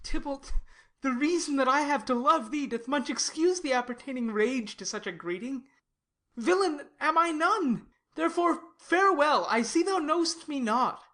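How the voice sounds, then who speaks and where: Young noble lover played by a woman, high-pitched, sincere and placating, classical verse for audiobook drama. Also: animated, normal speed, fairly bright tone. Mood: calm, placating. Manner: earnest, placating. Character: lovestruck, conciliatory young nobleman. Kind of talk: Shakespeare audiobook dramatic reading.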